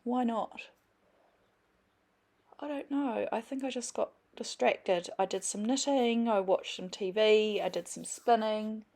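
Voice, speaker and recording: A woman vlogger chatting casually at home, her voice croaky from just waking, rambling with many fillers. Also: a little high, measured pace, darkish tone. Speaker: sewing vlogger. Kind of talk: casual chat.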